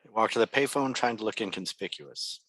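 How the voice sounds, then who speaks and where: A man's voice: fairly low pitch, lively intonation, fairly quick, fairly bright tone, husky, no room sound. A man reading stage directions as scripted narration at a table read.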